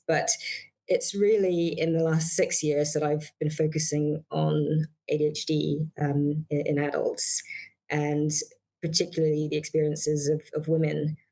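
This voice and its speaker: A middle-aged woman from Barbados, a psychiatrist answering interview questions in a measured way, with many fillers and restarts. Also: low-pitched, darkish tone. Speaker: guest psychiatrist.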